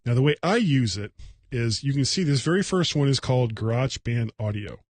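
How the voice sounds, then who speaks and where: A man hosting a casual solo tech livecast, talking in a rambling, halting, self-deprecating way. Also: mid-range voice, animated, darkish tone, dry recording.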